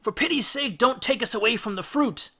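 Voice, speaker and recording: A man's voice: very high voice, brisk, pushed and bright, pitched above the performer's own voice, full character voice. A man voicing a band of lotus-addled Greek sailors who shriek and plead desperately in a storybook reading.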